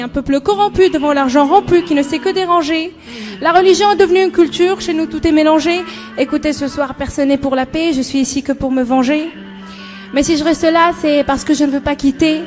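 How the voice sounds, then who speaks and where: Very high-pitched young woman reciting impassioned poetry. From Morocco. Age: young adult. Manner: impassioned, emphatic, rhythmic delivery. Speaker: student poet. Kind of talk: spoken-word poetry recital. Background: background music.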